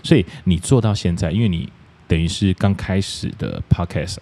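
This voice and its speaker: A man's voice: sits low, normal speed, dark tone. A man hosting a podcast in a casual, joking interview style, playfully repeating his phrases. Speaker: podcast host.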